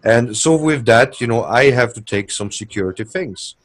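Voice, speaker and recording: A man's voice: mid-range voice, moderate pace. A man speaking as a guest to listeners, earnest and hesitant, with many fillers. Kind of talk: casual conversation to listeners.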